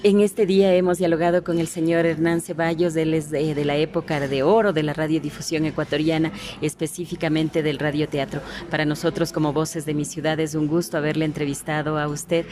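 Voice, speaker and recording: A woman hosting a formal broadcast interview. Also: deep voice. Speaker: interviewer, programme host. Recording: clear background noise, ambient sound.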